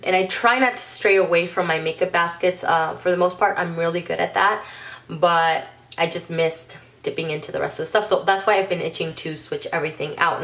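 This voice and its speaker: A woman's voice: lowish voice, fairly bright tone. A congested, under-the-weather woman chatting through a casual makeup vlog, rambling with frequent ums and self-corrections. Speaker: beauty vlogger.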